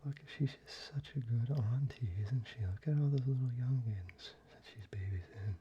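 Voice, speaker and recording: A man speaking very softly in a breathy, halting near-whisper, gently presenting things as an ASMR video host. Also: mid-range voice, lo-fi sound, a little hiss or hum. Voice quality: soft, breathy, largely whispered. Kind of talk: soft-spoken ASMR, showing a card collection.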